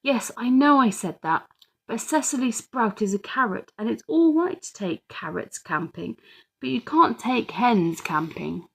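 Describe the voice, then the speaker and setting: A woman's voice: fairly high pitch, expressive, unhurried, creaky, a bit bright, slightly rough, heavily acted, rough recording. A woman voicing an exasperated, teasing mum in a children's storybook, with an emphatic, incredulous, mock-scolding manner. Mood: firm.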